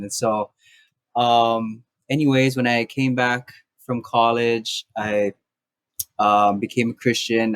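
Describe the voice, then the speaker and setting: A young man from Maui, a house church pastor, sharing his story in a soft-spoken, halting way with many restarts. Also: fairly low pitch, no echo. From Maui, Hawaii. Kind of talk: casual podcast conversation.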